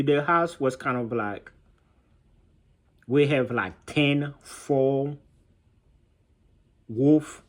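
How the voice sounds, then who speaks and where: A man speaks in a medium-pitched voice. He tells his own story informally, in a halting, reflective way, often pausing to search for the right word. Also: fairly bright tone. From Haiti. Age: 30s. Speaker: vlogger telling his childhood story.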